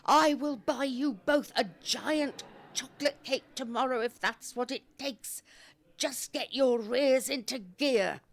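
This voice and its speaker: An actress playing a brisk, scolding old care-home ringleader in an audio drama. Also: female voice, high-pitched. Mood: exasperated.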